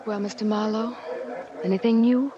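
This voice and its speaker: A woman's voice: mid-range voice, steady tone, mellow, close to an everyday voice. Woman acting a wistful, pleading lovelorn wife. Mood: tender, fragile. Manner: earnest, breathless pleading with dramatic pauses. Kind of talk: 1940s radio drama acting. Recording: dull, lightly noisy 1940s radio recording.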